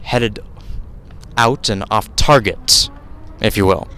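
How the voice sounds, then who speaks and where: A man's voice: fairly low pitch, slow pace, bright, forceful tone, slight background noise. A man doing live radio play-by-play of a soccer match outdoors, describing the action in short, clipped phrases. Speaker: play-by-play sports commentator.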